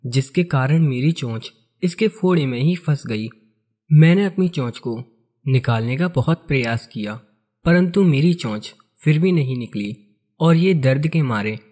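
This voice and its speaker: Man as calm forest ascetic, husky mid-pitched voice, measured cartoon storytelling, soft music behind. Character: calm ascetic sage explaining past-life karma.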